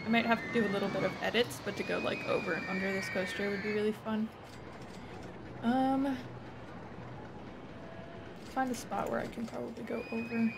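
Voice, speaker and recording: A woman livestreaming a game, chatting casually, laughing often and swearing freely, her voice a little hoarse. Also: a little high, flat delivery, normal speed, pauses often. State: slightly hoarse. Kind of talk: casual gameplay chat. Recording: noisy home stream recording.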